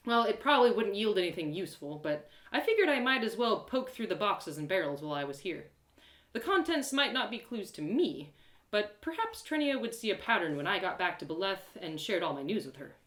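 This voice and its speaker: A woman voicing an excitable, plucky young girl adventurer who narrates her quest with dramatic, colloquial asides. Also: mid-range voice, brisk, reverberant. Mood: curious, dry. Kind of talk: first-person dramatic story narration.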